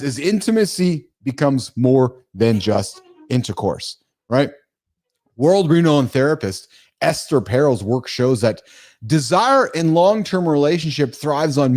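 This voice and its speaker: A middle-aged man hosting a live morning talk show, speaking casually and with animation, often addressing his listeners as guys. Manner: animated, calls listeners guys, jumps in pitch.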